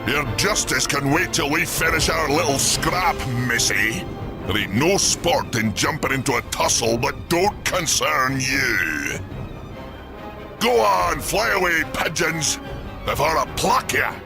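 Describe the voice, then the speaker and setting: An actor playing a gruff ancient giant smith who drawls in a rustic, taunting way, in a video game cutscene with music. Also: male voice, on the high side, sing-song, measured pace, exaggerated voice. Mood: mocking. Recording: game audio with loud score.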